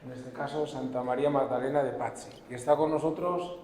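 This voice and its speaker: A man's voice: mid-range voice, steady tone, brisk, darkish tone. An older man hosting a talk series, introducing the guest speaker in a chatty way. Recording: echoey hall.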